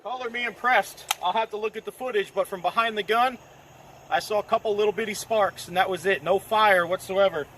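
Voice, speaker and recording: A man's voice: high-pitched, a little fast. A man casually talking through a firearm test outdoors at night, plainspoken and thinking aloud. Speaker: gun reviewer filming a test. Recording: noisy outdoor night recording.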